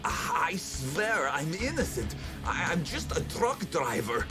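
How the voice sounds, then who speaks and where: Nervous truck driver, pleading, defensive, video game cutscene, music underneath. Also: male voice, fairly high pitch, very animated, takes it slowly. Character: frightened, whining male truck driver pleading innocence.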